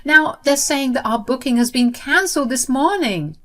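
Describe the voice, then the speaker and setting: A woman's voice: expressive, normal speed, pushed and bright. A woman voicing an entitled adult sister-in-law who shrieks and demands, in a told story. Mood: shrill, outraged. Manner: shrill and demanding.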